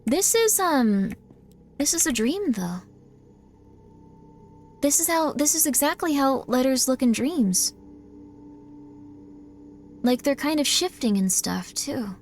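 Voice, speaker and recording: Bubbly, high-voiced woman streamer. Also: big pitch swings, slow, long pauses, slight background noise. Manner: bubbly, chatty, thanking viewers often. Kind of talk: casual live-stream chat while gaming.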